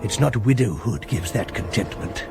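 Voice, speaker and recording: Sly gossip, creaky and animated. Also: male voice, lowish voice, moderate pace, over music. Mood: sly, probing. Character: sly, insinuating gossip of a medical man. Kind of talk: radio drama acting.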